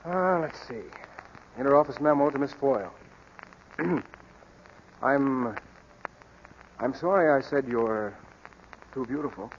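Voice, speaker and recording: An actor playing a well-bred young boss and suitor, polite, hesitant and earnest, in 1940s radio style. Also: male voice, medium pitch, takes it slowly, pauses often. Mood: contrite, tender. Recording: muffled, hissy 1941 radio recording.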